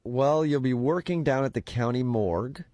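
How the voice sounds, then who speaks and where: A man's voice: mid-range voice, normal speed, dark tone, lightly acted. A man playing an upbeat, pushy temp agency recruiter on a prank phone call, talking casually and keeping a straight face. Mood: matter-of-fact. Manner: casual, breezy, straight-faced salesman patter. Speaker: radio prank caller.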